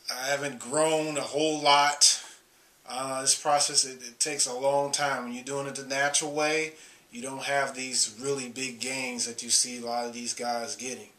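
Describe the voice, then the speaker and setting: A man's voice: medium pitch, flat delivery, bright, forceful tone, roomy sound. A man in his late thirties, a bodybuilder, talking casually to his viewers with lots of "uh" and restarts. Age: 30s. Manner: halting, many fillers and restarts. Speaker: bodybuilder vlogger. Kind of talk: casual talk to camera.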